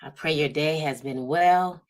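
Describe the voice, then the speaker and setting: A woman's voice: low-pitched, measured pace. An American woman from the Midwest hosting a casual motivational livestream as a business coach, speaking straight to her audience and stressing key words. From Midwestern United States. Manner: emphatic, drawing out key words, checking in with "right?" and "y'all". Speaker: host, business strategist and coach.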